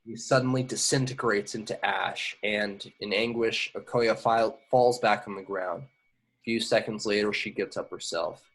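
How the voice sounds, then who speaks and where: A man's voice: on the low side, fairly even intonation, normal speed, fairly bright tone, slightly rough, rough recording. A man reading screenplay stage directions aloud at an informal table read, occasionally stumbling over words. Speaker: action description reader.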